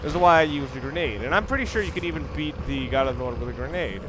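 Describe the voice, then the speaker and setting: Higher-voiced man commentating over noisy recording. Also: animated, fast. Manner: explanatory, analytical, self-correcting. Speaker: gameplay commentator. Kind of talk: casual let's play commentary. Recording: noisy recording with clear background noise.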